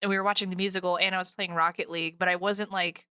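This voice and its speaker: A woman with a bright, crisp voice at an average pitch chats as a podcast host. She is effusive and informal, wandering through her thoughts. The recording sounds dry. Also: little pitch movement, racing, no room sound. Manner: gushing, informal, rambling. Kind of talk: casual podcast chat.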